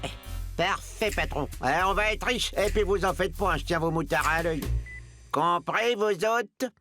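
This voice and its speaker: Cocky, sharp-voiced cartoon gangster. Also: male voice, high voice, unhurried, soft background music, full character voice. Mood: gleeful, threatening. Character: cocky, servile kidnapper gangster, menacing toward children. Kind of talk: French animated series dubbing.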